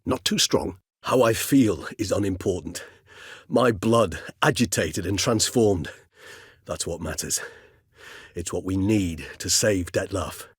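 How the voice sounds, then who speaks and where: An actor voicing an erudite, gentle, world-weary old vampire scholar in a video game, speaking in measured, courtly, bookish phrases. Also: male voice, sits low, breathy edge, dry recording, clean studio sound, full character voice. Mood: solemn. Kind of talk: video game character dialogue.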